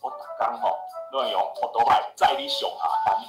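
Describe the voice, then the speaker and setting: An actor playing a pushy, boastful young suitor in a Taiwanese radio drama, wheedling with a Taiwanese accent and breaking into drawn-out chanting. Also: male voice, medium pitch, roomy sound, over loud music, lightly acted. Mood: coaxing. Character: brash, pushy young suitor, stubbornly pestering a girl.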